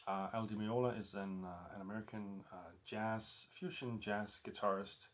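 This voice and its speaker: A man's voice: fairly low pitch, fairly even intonation, moderate pace, a little hiss or hum. A man talking casually to the camera at home, hesitant and full of ums and restarts. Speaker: record collector vlogger. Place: home room lit by a lamp.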